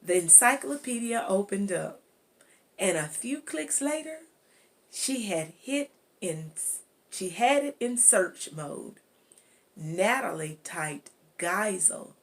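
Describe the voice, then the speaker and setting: Slow-paced woman reading a story aloud. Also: on the low side, expressive, gravelly. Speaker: guest reader. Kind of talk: audiobook-style storybook read-aloud.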